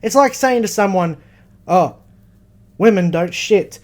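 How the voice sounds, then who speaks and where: A man's voice: sits high, animated, unhurried. An Australian man co-hosting a podcast, bantering casually with a blunt, emphatic delivery and plenty of swearing. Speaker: podcast co-host. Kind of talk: casual banter between mates.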